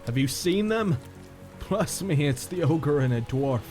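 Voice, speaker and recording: A man's voice: fairly high pitch, lively intonation, measured pace, a little mellow, soft background music, voice pushed up. A man voicing a spirited, loyal male ranger in a fantasy audio story, eager and plain-spoken. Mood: excited, relieved.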